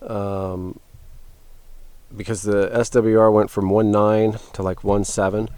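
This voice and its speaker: A man's voice: on the low side, flat delivery, takes it slowly, darkish tone, no echo. A man hosting a gear review, talking casually and thinking aloud as he rambles through a hands-on demonstration. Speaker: host of a radio gear review channel.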